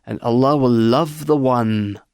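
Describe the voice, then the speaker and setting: A man's voice: medium pitch, measured pace, vocal fry, a little mellow, no echo, clean studio sound. A man narrating scripted religious sayings, with music behind his voice. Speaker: narrator of hadith.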